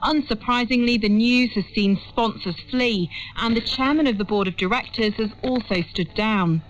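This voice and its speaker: A woman's voice: a little high, normal speed. A woman reporter reading a scripted news report, heard over shortwave radio. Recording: dull shortwave radio broadcast.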